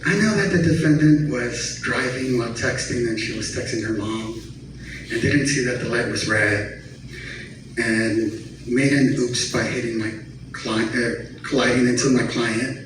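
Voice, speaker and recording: A man's voice: mid-range voice, a little slow, voice pushed down, lightly acted. A man performing stand-up, voicing a sweet, timid, babyish attorney pleading in court with cutesy childish wording. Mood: meek, pleading. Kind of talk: stand-up comedy act-out. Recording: echoey noisy room, far from mic.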